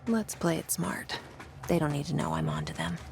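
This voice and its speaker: Low-voiced, determined young woman director. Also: wildly swooping pitch, normal speed, noisy background, exaggerated voice. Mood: guarded. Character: young woman bureau director, determined, self-questioning, wry. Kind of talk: video game dialogue and inner monologue.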